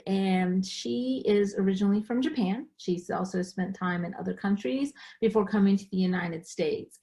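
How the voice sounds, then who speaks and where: Reflective woman hosting a counseling interview. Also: mid-range voice. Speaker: host, counseling educator. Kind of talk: conversational interview.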